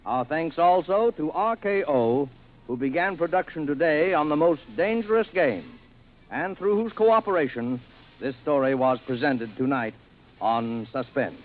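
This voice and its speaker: High-pitched American man, vintage radio announcer. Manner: formal, measured phrasing. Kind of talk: 1940s scripted radio announcing. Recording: tinny old radio broadcast, faint music.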